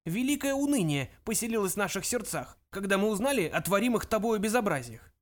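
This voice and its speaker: A man voicing a grumpy, scornful ancient ghost of a great-grandfather, with a creaky voice and stern, pompous scolding, in an audiobook. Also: sits high, big pitch swings, a little fast, voice pushed up. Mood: reproachful.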